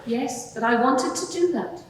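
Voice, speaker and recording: A woman's voice: high voice, measured pace, a little hiss or hum. A woman playing an earnest, devoted witness giving brief, hesitant, candid answers in a dramatised courtroom hearing. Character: earnest female witness, devoted follower of Jesus. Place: large reverberant courtroom. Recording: echoey hall, distant microphone.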